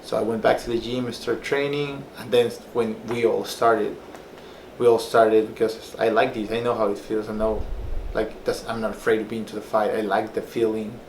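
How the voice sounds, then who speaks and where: A man's voice: on the low side, level tone, moderate pace, darkish tone, echoey room, a little hiss or hum, rough recording. A young man from Peru, a personal trainer telling his life story in a loose, rambling way, full of fillers. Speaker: personal trainer and former fighter. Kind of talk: casual personal story to camera.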